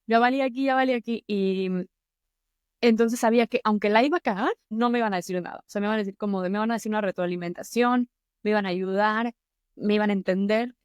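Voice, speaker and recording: A woman's voice: mid-range voice, fast, darkish tone, clean tone, no echo, studio quality. Earnest Mexican woman giving advice. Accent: Mexican Spanish. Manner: earnest, encouraging, conversational. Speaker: YouTube host and public-speaking mentor. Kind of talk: motivational advice to camera.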